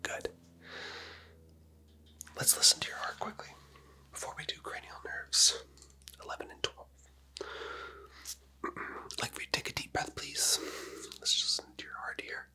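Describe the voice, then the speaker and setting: A person whispering gentle, patient clinical instructions in a close, quiet ASMR medical roleplay as a doctor. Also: a little slow, pauses often. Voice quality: whispered, mostly unvoiced. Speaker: ASMR creator playing a doctor.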